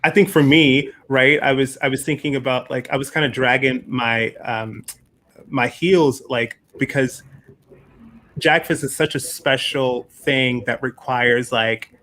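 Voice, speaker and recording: A man's voice: medium pitch, steady tone, measured pace, pushed and bright. A man hosting a casual discussion as a festival producer, speaking with enthusiasm and emphatic repetition.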